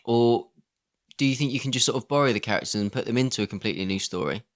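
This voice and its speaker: A man's voice: medium pitch, rapid-fire. A man co-hosting a podcast, talking conversationally and inquisitively in a casual discussion. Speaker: podcast co-host. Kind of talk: casual discussion, posing questions.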